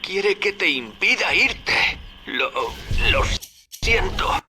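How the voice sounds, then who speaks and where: A man's voice: high-pitched, big pitch swings, drawn-out words, heavy background noise, full character voice. An actor playing a tormented, apologetic man enslaved by mind control in a video game, his voice strained. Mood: anguished. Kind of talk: dramatic video game acting.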